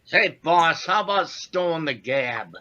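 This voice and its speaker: A man plays a rough, impatient henchman from a 1940s radio gangster show. The voice is hard-edged and forceful, brusque and full of slang. The words come slowly, with a lively swing in pitch. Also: lo-fi sound, a little hiss or hum. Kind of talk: 1940s radio drama acting.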